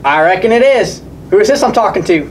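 A woman's voice: mid-range voice, expressive, fast talker, far from the mic, noisy background, close to an everyday voice. A woman voicing a friendly, helpful male country mechanic puppet, folksy and easygoing. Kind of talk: children's puppet show acting.

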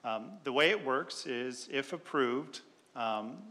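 A man in his thirties presenting an item to a city council in a relaxed, plain way, with many fillers, at a desk microphone in a large chamber. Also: mid-range voice, slow pace. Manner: relaxed, filler-heavy explaining. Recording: long reverb of a council chamber.

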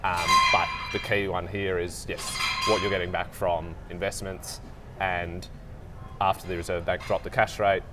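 A man explaining political issues in a measured way, with frequent hesitations. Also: low-pitched, level tone, very noisy. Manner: measured, explanatory, many fillers. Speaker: political commentator. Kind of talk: casual political panel chat. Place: indoor bar-restaurant, seated at a small table.

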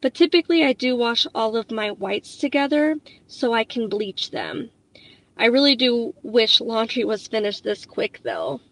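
Chatty woman with a gravelly edge. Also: a little high, very animated, a little slow, fairly bright tone, poor audio. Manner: chatty, upbeat, talking to viewers. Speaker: cleaning vlogger. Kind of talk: voice-over narration.

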